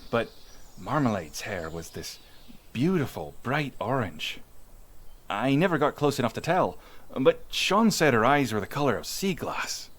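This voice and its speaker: A man playing a chatty young villager who fondly recounts sea monster tales in an informal, rambling audio roleplay. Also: medium pitch. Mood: animated, wry. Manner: informal, animated, rambling witness account with hesitations. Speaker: voice actor. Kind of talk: in-character audio roleplay storytelling, conversational.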